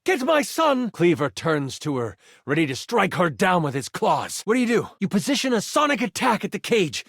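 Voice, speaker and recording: A man's voice: high-pitched, very animated, normal speed, vocal fry, fairly bright tone, husky, no echo, clean studio sound. A man narrating as game master in a dramatic, theatrical, suspenseful fantasy storytelling style.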